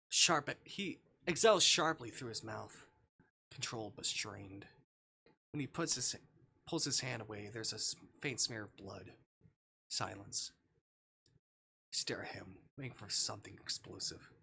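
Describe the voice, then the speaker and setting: A man's voice: lowish voice, vocal fry, sharp tone, slight background noise. A man streaming a dramatic read-aloud of visual novel text, sometimes stumbling over words and rereading them.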